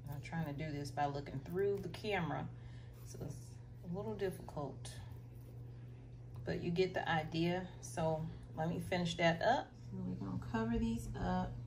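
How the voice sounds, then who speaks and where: A woman's voice: on the low side, moderate pace, a little mellow, far from the mic. Woman, American accent, informal instructing, echoey room, away from the mic, faint noise. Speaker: home gardening video host. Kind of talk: casual how-to demonstration. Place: small indoor room at home. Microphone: camera a short distance away.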